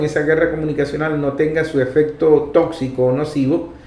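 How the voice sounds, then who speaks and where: A man's voice: fairly high pitch, a little mellow. A Venezuelan man hosting a political commentary program, speaking in an emphatic, didactic way and repeating key phrases. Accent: Venezuelan Spanish. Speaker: radio program host and commentator. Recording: roomy echo, faint background noise.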